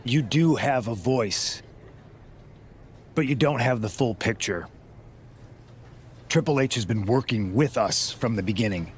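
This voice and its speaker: A man's voice: mid-range voice, unhurried, pauses often, slight background noise, close to an everyday voice. A man voicing a calculating wrestler who leads a rebel faction, firm and persuasive in video game cutscene dialogue. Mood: guarded.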